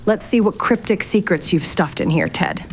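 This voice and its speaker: A woman's voice: mid-range voice, expressive, normal speed, a little hiss or hum, lightly acted. An actress playing a sardonic, curious young woman in a fiction podcast drama, thinking aloud to herself in a naturalistic, exasperated way. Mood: wry, determined. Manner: wry, sarcastic, exasperated muttering to herself. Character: sardonic, curious young woman investigating her late relative's mysterious house. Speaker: lead actor in an audio drama.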